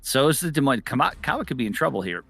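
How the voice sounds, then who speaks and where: A man casting an esports match live, with excitable, exclamatory commentary on the play as it unfolds. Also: mid-range voice, rapid-fire, vocal fry, no room sound, soft background music. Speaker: esports caster.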